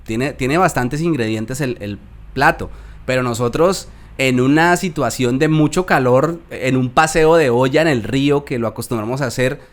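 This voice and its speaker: Colombian guy hosting a chat, even middle voice, takes his time. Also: mid-range voice. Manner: unhurried, thoughtful, restarts his sentences. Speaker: podcast host. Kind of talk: casual interview.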